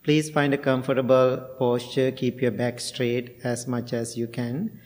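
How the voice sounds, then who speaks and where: Middle-aged male Buddhist monk from Sri Lanka, unhurried, gentle, pausing often, even-toned, long reverb. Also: medium pitch. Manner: slow, gentle, with frequent pauses and fillers. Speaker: Buddhist monk and meditation teacher. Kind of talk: guided meditation and dharma talk.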